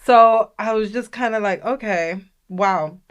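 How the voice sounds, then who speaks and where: A woman's voice: mid-range voice, a little slow, smooth, dull audio. A young woman co-hosting a podcast, telling a story in casual chat and acting out the people she quotes. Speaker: podcast co-host.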